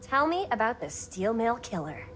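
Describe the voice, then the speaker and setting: A woman's voice: on the high side, sing-song, moderate pace, fairly bright tone, a little hiss or hum. An actress playing a brash, dramatic young American woman in a video game, theatrical, sarcastic and bossy in her slangy talk. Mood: intrigued, teasing. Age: young adult. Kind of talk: video game cutscene acting.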